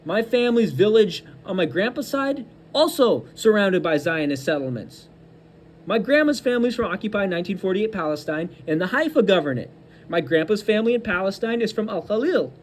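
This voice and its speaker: A man's voice: high voice, sing-song, a little fast, slight background noise. A man giving angry, combative political commentary, hurling insults and hammering points through repetition. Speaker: host of a political commentary show.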